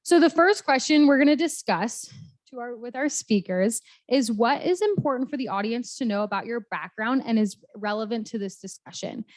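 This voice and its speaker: Woman, panel host, higher-pitched voice, dry sound, running the event from the front. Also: moderate pace, dry recording. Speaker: event moderator. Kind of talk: hosting a panel and raffle.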